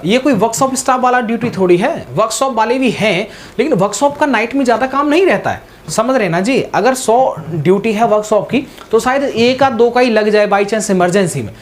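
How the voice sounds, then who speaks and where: A man with a high voice speaks informally, like a coach talking directly to students. He explains things conversationally and keeps adding little check-in tags. Clear ambient noise sits behind him. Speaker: exam guidance presenter. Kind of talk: informal motivational advice talk to students.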